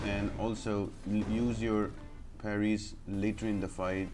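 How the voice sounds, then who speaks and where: A man's voice: on the low side, little pitch movement, measured pace, darkish tone. A man giving casual, instructional commentary as he walks viewers through a video game. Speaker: game guide video creator. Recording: voice-over with music behind. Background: clear music.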